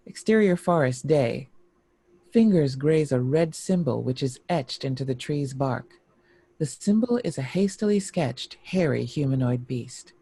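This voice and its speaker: Guy narrating a screenplay, gravelly and dark toned, really dry close sound. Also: mid-range voice, lively intonation, a little slow, dry recording. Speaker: narrator reading stage directions.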